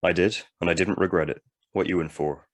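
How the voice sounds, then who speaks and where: Man playing a surly, low-voiced old convict. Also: fairly even intonation, brisk. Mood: surly, indifferent. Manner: gruff, terse, slangy. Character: surly, hardened male long-term prisoner, convicted killer. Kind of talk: audio drama acting.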